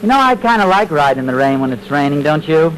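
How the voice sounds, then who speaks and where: Mild, highish-voiced radio sitcom husband. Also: male voice, sing-song, fast talker, a loud crowd. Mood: affable, content. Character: mild, affable, slightly bumbling sitcom husband. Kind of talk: 1940s-50s radio sitcom acting. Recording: noisy old radio broadcast recording.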